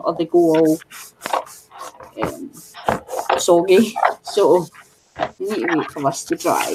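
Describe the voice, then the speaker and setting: A woman's voice: fairly low pitch, a little mellow, a little hiss or hum, poor audio. A woman from New Zealand in casual, rambling craft chat, full of fillers. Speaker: craft channel co-host.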